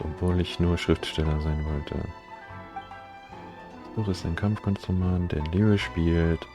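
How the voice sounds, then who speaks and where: A man with a low, dark and relaxed voice reads on-screen game text aloud for a livestream. He sounds offhand, with faint game music in the background. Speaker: game streamer.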